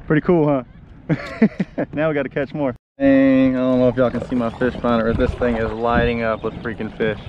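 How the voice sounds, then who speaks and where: A man, chatting casually and excitably in slangy talk as he films a fishing outing. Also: medium pitch, normal speed, pauses often. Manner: excitable, laughs often, slangy. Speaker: fishing vlogger. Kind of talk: casual chat while filming a fishing outing. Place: kayak on an open lake, some in a car. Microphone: action camera worn or mounted close by. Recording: muffled outdoor action camera, clear background noise.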